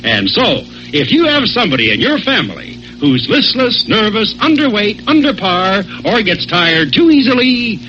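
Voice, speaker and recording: On a muffled old radio recording with music, an American man announces in 1940s sponsor style. His emphatic, folksy delivery swings dramatically in pitch to drive each phrase home. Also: very animated, moderate pace, few pauses, bright, forceful tone. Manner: emphatic, folksy persuasion with repetition.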